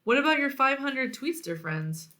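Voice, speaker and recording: A woman's voice: high voice, sing-song, moderate pace, pushed and bright, voice pushed up, full character voice. A woman voicing a blunt, easily bored and indignant female vulture in a storybook. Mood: huffy.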